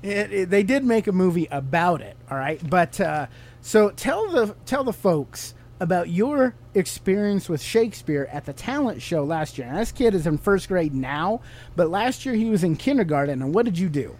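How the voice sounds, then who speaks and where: A man's voice: high-pitched, expressive, normal speed, a little mellow. A man with an American accent co-hosting a podcast in a casual, teacherly chat, asking questions and explaining things simply to a child. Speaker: podcast co-host.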